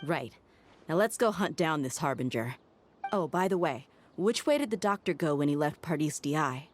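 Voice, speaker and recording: A woman's voice: low-pitched, animated, normal speed, a little hiss or hum. A woman playing a confident, wary mercenary tracker in a video game, speaking in an assured, matter-of-fact way. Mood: brisk.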